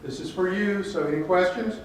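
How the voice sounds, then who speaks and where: A man's voice: high voice, fairly quick. An older man moderating a student panel, prompting questions in a friendly way. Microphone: handheld mic. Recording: echoey room from far off, faint noise.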